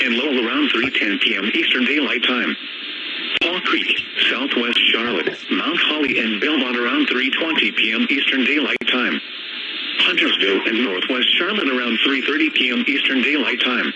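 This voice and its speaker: A man reading a scripted emergency weather warning in a formal, automated-sounding way. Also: mid-range voice. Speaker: weather alert announcer. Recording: dull, very noisy radio broadcast.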